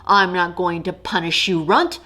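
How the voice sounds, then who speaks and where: A woman voicing a big, lazy male lion king in a storybook read-aloud, with a slow, lordly drawl. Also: fairly low pitch, bright, forceful tone.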